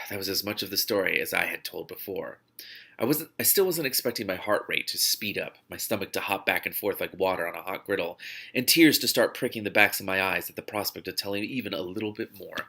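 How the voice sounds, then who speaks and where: Bright-voiced man reading a novel aloud. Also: low-pitched, creaky. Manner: animated, informal, breaks off to comment. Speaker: reader and host of a read-along series. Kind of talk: expressive read-aloud of a novel with off-the-cuff reactions.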